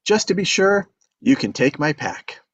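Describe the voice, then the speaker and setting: A man's voice: fairly high pitch, big pitch swings, unhurried, fairly bright tone, breathy edge, dry recording, heavily acted. A man playing an adventurous, easygoing young small-town man who tells his own story at a table read. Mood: breezy. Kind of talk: table-read acting with first-person narration. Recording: dull lo-fi microphone sound.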